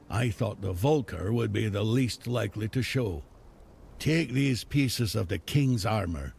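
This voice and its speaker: Gruff fantasy game chieftain type, deep and really croaky, grave delivery, music behind. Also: male voice, a little mellow, full character voice. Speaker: video game character. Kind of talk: dramatic fantasy game dialogue. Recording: game dialogue over clear music.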